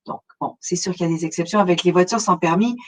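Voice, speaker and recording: A woman's voice: fairly low pitch, fast talker. A middle-aged woman from Montreal with a Québécois accent, presenting a webinar in a conversational way, speaking straight to her listeners with frequent hesitations. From Montreal, Canada. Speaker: webinar presenter, relocation consultant.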